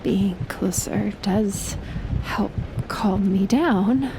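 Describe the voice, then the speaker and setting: A woman's voice: mid-range voice, drawn-out words. A young woman playing a shy, playful best friend in an intimate audio roleplay, talking casually and hesitantly. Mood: flustered, nervous. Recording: rain and thunder noise behind voice. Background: rain and thunder.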